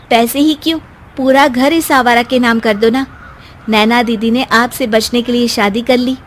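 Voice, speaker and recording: A defiant young daughter played by a voice actor in a family story, high-pitched and sarcastic. She argues back sharply over slight background noise. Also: lightly acted, poor audio. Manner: sarcastic, argumentative. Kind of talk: melodramatic Hindi family story dub.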